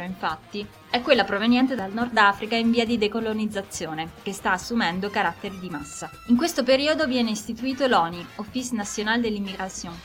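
A woman hosting a radio program, reading scripted historical narration in a measured, formal way. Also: mid-range voice, fairly bright tone. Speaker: radio host. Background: music under the voice.

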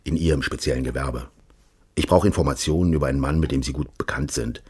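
Very deep, husky shadowy official. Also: male voice, a little mellow. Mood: controlled, secretive. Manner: measured, formal, insinuating. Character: smooth-mannered, menacing, secretive official recruiting a detective. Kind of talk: radio crime drama acting.

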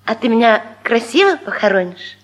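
An actress playing a fretful, vain wife in a radio comedy sketch, pestering, pouty and sorry for herself. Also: female voice, a little high, sing-song, normal speed. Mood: pouty, self-pitying. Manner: pestering, pouty, self-pitying. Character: fretful, vain wife needling husband with morbid questions.

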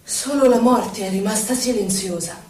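A woman playing a poet's mournful, questioning inner voice, reciting verse with dramatic, deliberate phrasing. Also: medium pitch, darkish tone, natural voice. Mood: solemn. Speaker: stage actress. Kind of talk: theatrical poetry recitation. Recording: echoey hall, distant mic, music.

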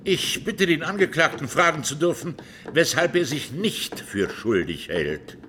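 An actor playing a sharp, sceptical examiner in a 1920s trial radio drama, with pointed, incredulous questioning. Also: male voice, on the high side, big pitch swings, measured pace, slight background noise, exaggerated voice.

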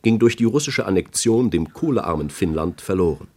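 A man's voice: low-pitched, animated, normal speed, dark tone. A man reading reference entries in a radio play in a formal, factual manner. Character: sober male reader of encyclopedia and guidebook entries. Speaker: reader of quotations.

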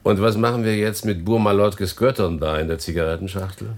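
A man's voice: fairly low pitch, steady tone, fairly quick, close to an everyday voice. An actor playing a dry, sardonic male radio editor in a radio play, in an understated manner. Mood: dryly sardonic. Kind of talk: German radio play acting.

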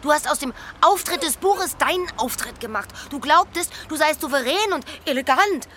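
A scornful, disappointed lover in a radio play. Her high, sharp voice is blunt and cutting, with faint noise around it. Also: expressive, normal speed. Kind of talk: radio drama dialogue.

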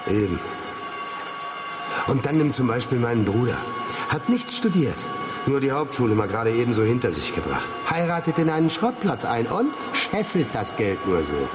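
An actor playing a grumbling, envious quantum physicist in a radio drama, griping in a sarcastic, wordy way full of rhetorical jabs. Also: male voice, mid-range voice, very animated, normal speed, over music, lightly acted. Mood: irritated, sarcastic. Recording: clearly noisy background.

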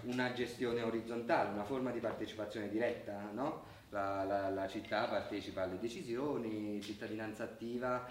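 An actor playing a determined, calculating but idealistic communications strategist in a radio drama, explaining firmly and persuasively. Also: male voice, lowish voice, steady tone, moderate pace, few pauses, lightly acted. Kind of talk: Italian radio drama acting. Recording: distant, echoey radio drama, faint noise.

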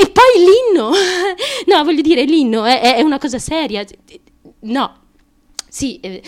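An actress performing a theatrical monologue as a fragile, anxious, childlike young woman, stammering and repeating words as she confides feverishly. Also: high-pitched. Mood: agitated, frantic, then hesitant, flustered. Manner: halting, stammering, repeats words, nervous giggles. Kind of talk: theatrical stream-of-consciousness monologue.

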